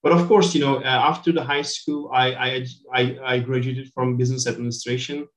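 An echoey online call carries a middle-aged man with a Turkish accent. His voice sits at an average pitch. He answers as an interview guest in an informal, conversational way. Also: flat delivery, brisk. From Turkey. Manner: informal, peppered with "you know". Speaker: interview guest, company director.

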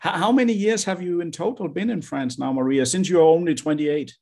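Gravelly Danish man, halting interviewer. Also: fairly high pitch, a little mellow. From Denmark. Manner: halting, repeats words, laughs easily. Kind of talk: casual business interview.

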